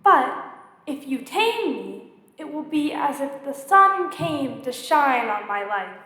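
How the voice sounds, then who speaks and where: A woman voicing a lonely, wistful storybook fox in an earnest, gently dramatic way. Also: high-pitched, big pitch swings, slow, full character voice. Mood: hopeful, yearning. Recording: echoey hall with long reverb.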